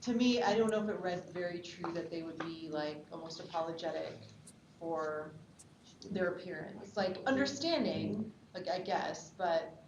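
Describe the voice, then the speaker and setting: A woman giving feedback in a casual group discussion, speaking hesitantly and softening her opinions. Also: fairly low pitch, animated, normal speed. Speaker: participant giving script feedback. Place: indoor room at a table read, group around a table. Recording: distant mic in echoey room, noisy.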